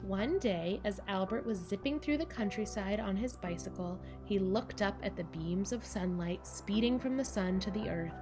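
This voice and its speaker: A woman reads a children's picture book aloud in a mid-pitched voice. Her phrasing is measured and deliberate, with pauses for drama, and music plays clearly behind her. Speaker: storybook reader for children.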